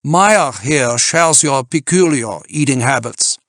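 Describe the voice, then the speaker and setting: A man's voice: medium pitch, expressive, slow pace, fairly bright tone, dry recording, studio quality, pitched above the performer's own voice. A man voicing a domineering, pedantic mountaineer in an audiobook, with a stiff, declamatory manner. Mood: forceful, admonishing. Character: domineering, pedantic German male mountain climber.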